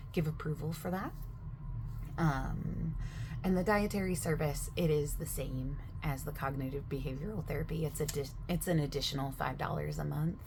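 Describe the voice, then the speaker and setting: A woman speaking softly in an ASMR roleplay as a gym staff member, chatty and overexplaining, often dropping into a whisper partway through a sentence. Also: low voice, creaky, a little hiss or hum. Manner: soft-spoken, slipping into whispers mid-sentence. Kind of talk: soft-spoken ASMR sales roleplay.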